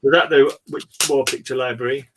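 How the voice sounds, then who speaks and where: A man's voice: mid-range voice, steady tone, brisk, background noise. A British man chatting casually and informally as host of a comics hangout. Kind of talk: casual chat.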